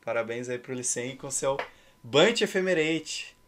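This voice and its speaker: A man's voice: medium pitch, lively intonation, unhurried, a little hiss or hum. A man in his thirties with a Brazilian accent, chatting casually and ironically as he hosts and commentates a game stream. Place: indoor room at home.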